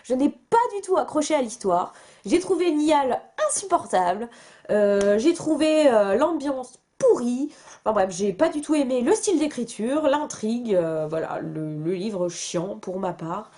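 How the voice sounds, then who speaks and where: A woman's voice: a little high, animated, a little slow, mellow. A young woman from France chatting casually to camera about books, with many fillers and restarts and a self-mocking way of talking. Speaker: book vlogger.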